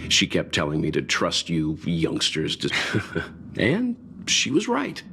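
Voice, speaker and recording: A man voicing a thoughtful, kindly mentor in a video game, with a measured, reflective and gentle delivery. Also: sits low, huge pitch swings, exaggerated voice. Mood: reflective, encouraging. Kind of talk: scripted video game dialogue. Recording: music behind the voice.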